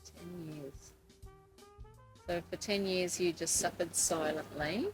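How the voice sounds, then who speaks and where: A woman's voice: on the low side, fairly even intonation, slow, music in the background. A woman hosting a podcast, interviewing guests in a conversational way. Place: couch in a room in Nakasi.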